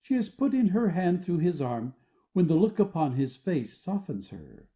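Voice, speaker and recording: A man's voice: on the high side, very animated, moderate pace, dark tone, highs cut off. A man reading stage directions as scripted narration for an audio play.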